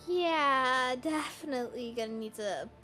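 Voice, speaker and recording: A woman voicing an excitable, anxious young girl, a devoted little sister, in an amateur Minecraft roleplay, flustered and stammering. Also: high voice, a little slow, faint music behind. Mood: worried, subdued. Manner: flustered, stammering, repeats words.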